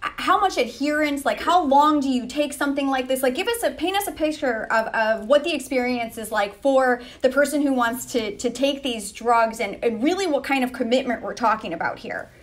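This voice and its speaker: A woman's voice: high voice, moderate pace, hardly any pauses. A woman in her thirties moderating a panel on a video call, upbeat and chatty. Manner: upbeat, chatty, lots of 'like'. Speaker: moderator, reporter. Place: indoors, office room. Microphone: video call, webcam.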